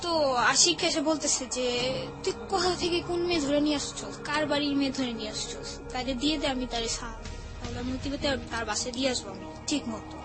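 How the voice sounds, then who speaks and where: A young woman with a Bangladeshi Bengali accent gives personal testimony as a guest, tearfully and haltingly. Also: sits high, rough recording. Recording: music under the voice. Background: background music.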